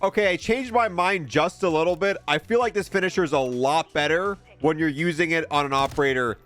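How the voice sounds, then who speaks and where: A man doing casual video game commentary in a reviewer's conversational style. His voice is slightly high, with faint music behind it. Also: brisk, fairly bright tone. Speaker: gaming video creator reviewing a game bundle.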